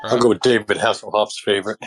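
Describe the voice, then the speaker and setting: A man's voice: lowish voice, steady tone, quick pace. A man co-hosting a show with casual, joking banter. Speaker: co-host.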